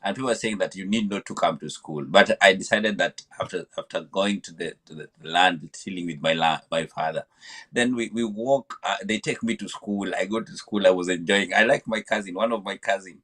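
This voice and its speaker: A man's voice: deep voice, brisk, fairly bright tone. A man from Kenya, a podcast guest, talking in a hesitant way with many fillers and repeated words. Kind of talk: conversational interview answer.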